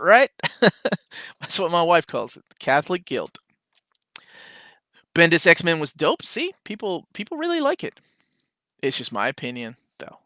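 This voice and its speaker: A man from Peru hosts a casual live chat from home, reading out questions and answering with easy enthusiasm. His voice is bright and forward, pitched a little high for a man. The sound is dry and close, with almost no room behind it. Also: no room sound. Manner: relaxed and chatty, reads viewer questions aloud and answers with enthusiasm. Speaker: comics channel host.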